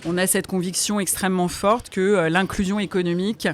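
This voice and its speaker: A woman's voice: medium pitch, steady tone, brisk. A woman answering as an interview guest, in an articulate, explanatory way. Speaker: interview guest, foundation president.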